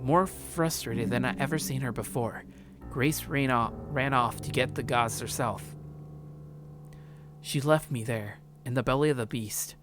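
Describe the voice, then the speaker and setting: A woman reading a visual novel aloud as a streamer, stumbling over words and breaking off to comment. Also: extremely low pitch. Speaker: let's play streamer. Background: background music.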